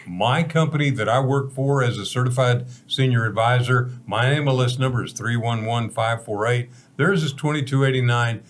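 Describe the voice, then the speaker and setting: Folksy American man in his seventies, hosting. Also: medium pitch. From Texas, United States. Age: 70s. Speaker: talk show host. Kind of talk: conversational talk show hosting.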